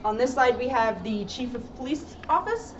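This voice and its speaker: A woman's voice: fairly high pitch, animated, moderate pace. A woman police officer giving an informal guided tour, explaining plainly and addressing viewers directly, recorded indoors from a distance. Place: indoor rooms and hallways of a police station. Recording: roomy, muffled, noisy, distant camera microphone.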